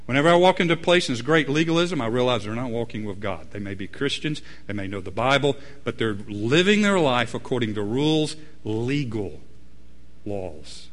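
A man preaching a sermon, swinging between loud emphatic peaks and low quiet asides. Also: expressive, normal speed. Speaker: pastor.

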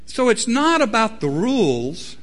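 Animated preaching man. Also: a little slow. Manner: animated preaching, swings from loud emphatic peaks to low quiet asides. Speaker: pastor. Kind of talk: sermon.

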